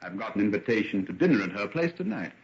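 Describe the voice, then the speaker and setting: Casting: jovial young British gentleman, animated bantering delivery, old radio drama, dull vintage sound. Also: lowish voice, quick pace. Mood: frank, prodding. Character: hearty, teasing young English gentleman, fun-loving old friend. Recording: dull, band-limited vintage radio recording.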